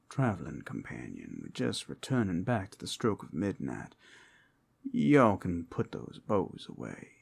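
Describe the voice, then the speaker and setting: A man plays a flirtatious werewolf guide for an ASMR audio drama. He speaks low and unhurried, with swooping pitch. His manner is folksy, teasing and sardonic, and protective of his companion. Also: gravelly, a little mellow, husky, exaggerated voice. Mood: wary, folksy.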